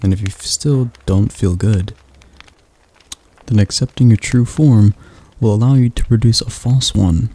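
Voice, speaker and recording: A man playing a gravelly, soft-spoken demon tutor. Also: fairly low pitch. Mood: patient, coaxing. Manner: slow, soft, coaxing instruction. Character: patient demon magic tutor, male, calm and reassuring. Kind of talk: ASMR roleplay.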